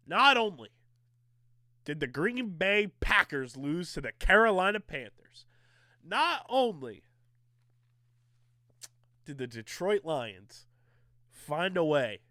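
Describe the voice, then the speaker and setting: A man's voice: mid-range voice, pushed and bright, clean tone, no echo, clean studio sound. A male podcast host from the area, sounding a little nasal from allergies, talking sports in an emphatic, hyped-up way.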